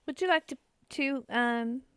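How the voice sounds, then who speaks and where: A woman's voice: sits high, unhurried, fairly bright tone, clear, resonant tone, no echo, clean studio sound. A woman co-hosting a spiritual study group, talking in a casual, reflective, conversational way.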